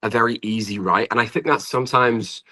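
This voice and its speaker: A man from the north of England talks casually as a guest songwriter, with a northern English accent. His voice is deep yet bright and forward. He speaks in loose, rambling, informal sentences. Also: fairly even intonation, a little fast. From Preston, north of England. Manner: rambling, informal, often says "like". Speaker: guest singer-songwriter and producer. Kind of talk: casual interview chat.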